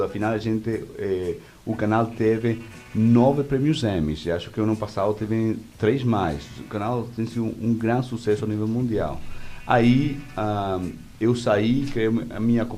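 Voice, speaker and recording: A man with a Venezuelan accent and native Spanish, answering interview questions conversationally in halting speech, searching for words. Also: fairly low pitch, dark tone. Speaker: interview guest, media executive.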